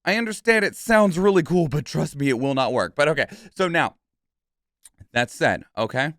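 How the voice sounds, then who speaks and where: Higher-pitched man giving opinionated game commentary. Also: animated, normal speed, fairly bright tone, no echo, clean studio sound. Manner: emphatic, frequently tags statements with "okay?" and "right?"; swears casually. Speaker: game reaction streamer.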